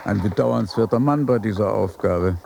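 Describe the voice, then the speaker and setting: A man's voice: fairly low pitch, moderate pace, gravelly, darkish tone, slight background noise. An actor playing a wry, pompous old aristocratic statesman and father in a literary radio drama, speaking in ornate, world-weary phrases. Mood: wry, reflective. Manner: pompous, sardonic, ornate declamation.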